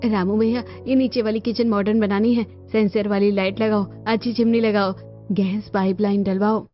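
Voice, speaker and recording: A firm, cautious middle-pitched mother played by a woman from a cartoon family drama. She explains herself insistently, in a slightly dull recording over music. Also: flat delivery, moderate pace, natural voice. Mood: businesslike. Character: firm, cautious mother planning her sons' households. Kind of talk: animated family drama dialogue.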